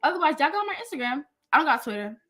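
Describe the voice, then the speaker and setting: A nineteen-year-old woman chatting playfully as a guest on a livestream, excitable and slangy, often repeating herself. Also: high voice, very fast. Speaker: guest, goddaughter. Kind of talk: casual livestream chat.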